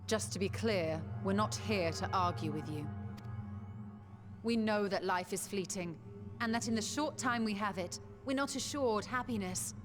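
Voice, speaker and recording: Woman as a composed heroine over loud music. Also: medium pitch, normal speed, lightly acted. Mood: firm, composed. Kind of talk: video game cutscene acting.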